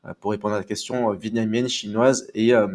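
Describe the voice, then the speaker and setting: A man's voice: lowish voice, little pitch movement, brisk, darkish tone. A man from Marseille, answering as an interview guest in a hesitant, polite, explanatory way. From Marseille, France. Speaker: podcast guest. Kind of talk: casual autobiographical interview answers.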